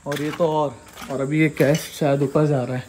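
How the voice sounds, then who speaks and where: A man vlogging as he explores an abandoned school by day, talking in an excited, spooked way and mixing in English words. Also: a little high, moderate pace. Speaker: vlogger exploring a haunted place. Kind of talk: casual walk-through vlog. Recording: loud insect and cricket noise behind.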